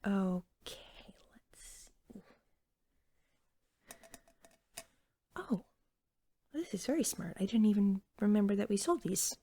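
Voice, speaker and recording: A woman playing an eager, friendly cashier at a magical pet store in a soft-spoken ASMR roleplay, often slipping into a whisper and chatting in a gentle, rambling way. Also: medium pitch, husky, full character voice. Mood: chatty, helpful.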